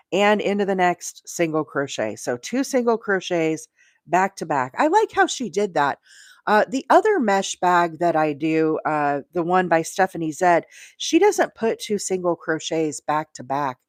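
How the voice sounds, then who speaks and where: A woman's voice: lowish voice, sing-song, a little slow, no room sound. Middle-aged woman chatting on a close, dry mic. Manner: chatty, greets viewers by name, thinks aloud. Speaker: livestream host and crochet demonstrator.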